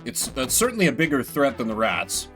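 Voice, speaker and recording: A man's voice: medium pitch, very animated, fairly quick, fairly bright tone, faint music behind. Man rambling playfully over a video game. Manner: rambling, playful mock-grandiose asides. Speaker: solo video game streamer. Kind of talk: casual gameplay commentary, voicing game text.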